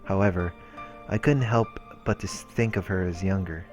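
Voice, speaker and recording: Casting: male gaming host narrating story text, deep-pitched, with background game music. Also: moderate pace, a little mellow. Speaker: let's play host. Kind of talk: reading visual novel narration aloud with brief reactions.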